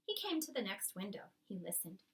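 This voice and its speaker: A woman reading a children's book aloud expressively, with careful, dramatic phrasing and pauses. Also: mid-range voice, sing-song, a bit bright, distant mic. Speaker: storybook reader.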